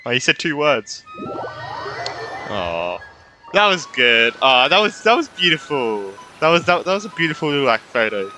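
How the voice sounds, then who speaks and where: A man's voice: mid-range voice, big pitch swings, moderate pace, sharp tone, faint music behind, rough recording. A man giving casual, informal reactions as a gaming streamer, enthusiastic and often repeating his phrases. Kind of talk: casual game playthrough reactions.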